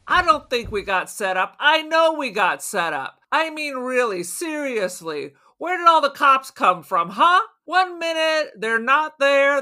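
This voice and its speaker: A woman's voice: sits high, sharp tone, full character voice. A woman playing an agitated, suspicious robber in an audio drama, ranting emphatically and swearing as she reasons things out. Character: agitated, suspicious, fast-reasoning robber, foul-mouthed and paranoid.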